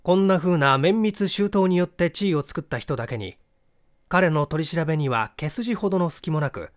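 A man reading a mystery novel aloud as scripted literary narration. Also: fairly high pitch. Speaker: audiobook reader.

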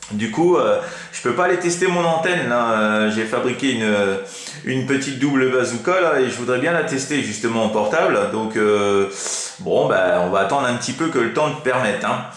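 A man's voice: mid-range voice, a bit bright. A man chatting casually and colloquially as an amateur CB radio operator. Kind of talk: casual radio contact chat. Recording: very echoey radio microphone sound.

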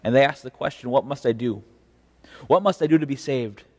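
A young American man preaching a devotion as a pastor, in a measured, earnest and deliberate way. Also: medium pitch. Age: young adult. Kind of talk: devotional preaching with scripture reading. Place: indoor church chancel. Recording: reverberant church, mic at a distance.

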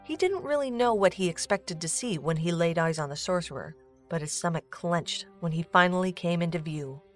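Low-voiced woman narrating a story. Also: normal speed. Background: music.

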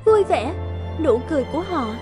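A woman's voice: high-pitched, sing-song, normal speed. A woman playing a sweet, earnest, kind young princess in a dubbed cartoon. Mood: earnest, gentle. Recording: loud music behind the voice.

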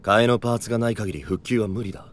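A man's voice: on the low side, fast talker, a little hiss or hum, close to an everyday voice. A man playing a cool, serious, analytical space cadet who talks bluntly and logically in a voice drama. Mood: matter-of-fact. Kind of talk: Japanese voice drama acting.

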